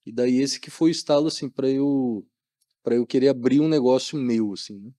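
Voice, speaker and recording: Brazilian guy being interviewed on a podcast, relaxed warm voice, very dry sound, chatty. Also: medium pitch, moderate pace, no echo. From Maringá, Brazil. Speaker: guest, doctor. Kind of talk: casual podcast interview talk.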